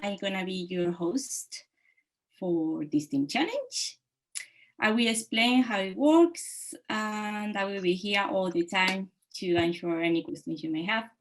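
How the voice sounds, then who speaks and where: Woman host, unhurried delivery, explains how the session works. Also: mid-range voice, lively intonation. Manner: slow, with frequent fillers. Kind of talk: introducing a video-call session.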